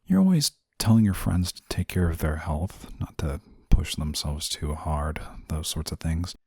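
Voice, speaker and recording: A man's voice: a bass voice, very animated, measured pace, creaky, darkish tone, breathy edge, no room sound, clean studio sound, full character voice. A man playing a stern, easily flustered guardian angel in a scripted audio roleplay, speaking formally and with prim reserve. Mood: concerned reproach. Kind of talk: scripted audio roleplay, intimate one-sided dialogue.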